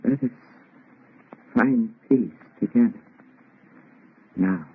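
An actor playing a dying, remorseful scientist with a weak, fading, husky voice in an old radio drama. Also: male voice, fairly low pitch, slow pace, heavily acted. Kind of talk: 1930s radio drama acting. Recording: tinny, narrow old radio recording.

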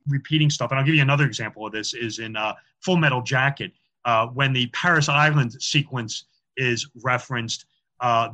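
Chatty man explaining films, bright voice. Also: mid-range voice, rough recording. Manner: chatty, many "um" and "you know" fillers, repeats words. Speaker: podcast guest, author on film symbolism. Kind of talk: casual interview talk.

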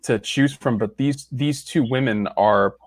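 A man's voice: medium pitch, a little slow. A young man co-hosting a podcast in casual chat, rambling with restarts and frequent laughs. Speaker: podcast co-host.

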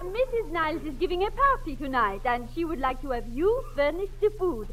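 An actress playing a coquettish French maid with a French accent, theatrical, in 1940s radio comedy. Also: female voice, sits high, sing-song, fairly quick, a bit bright. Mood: businesslike. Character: flirtatious French maid, coy and effusive. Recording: noisy vintage radio recording.

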